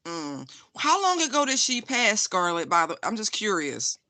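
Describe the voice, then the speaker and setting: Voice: medium pitch, big pitch swings, moderate pace, sharp tone, dry recording. A tarot reader giving a casual spiritual reading, frank and profane, laughing often and repeating phrases for emphasis.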